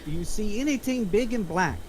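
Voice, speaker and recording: A woman acts out a hot-headed sidekick in the mid twenties at a film table read. The delivery is pushy, sweary and blaming, at a middling pitch, with faint noise in the room. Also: lively intonation, fairly quick, a little mellow, natural voice. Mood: agitated. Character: hot-headed, foul-mouthed twenty-four-year-old criminal sidekick.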